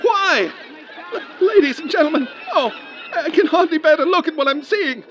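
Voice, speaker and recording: High-pitched emotional radio news reporter. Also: male voice, expressive, normal speed, a bit bright, highs cut off, a little hiss or hum. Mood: horrified, distraught. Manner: stammering, breathless, emotional. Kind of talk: 1930s radio live disaster commentary, acted.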